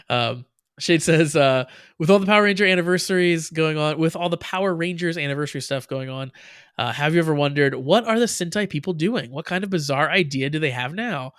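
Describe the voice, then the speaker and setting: A man's voice: a little high, brisk, fairly bright tone, smooth, no room sound, studio quality. A man with an American accent co-hosting a casual show review, rambling with lots of fillers and frequent laughs. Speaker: podcast co-host. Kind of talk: casual chat.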